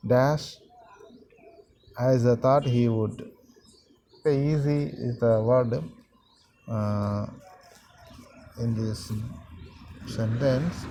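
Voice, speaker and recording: A man teaching a grammar lesson to students, repeating sentences and pausing often with fillers. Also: medium pitch, mellow, slight background noise, rough recording. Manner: slow, repetitive, halting with many fillers.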